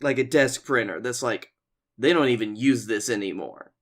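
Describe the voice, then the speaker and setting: A man's voice: mid-range voice, moderate pace. An American man with a mid-range voice, co-hosting a comedy podcast. He tells stories in a loose, rambling, conversational way and swears casually along the way. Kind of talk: casual comic storytelling chat.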